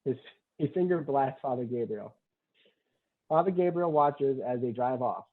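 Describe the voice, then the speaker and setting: A man's voice: medium pitch, measured pace. A man reading screenplay stage directions aloud at a table read, matter-of-fact, in short clipped sentences. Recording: thin, phone-like online call audio.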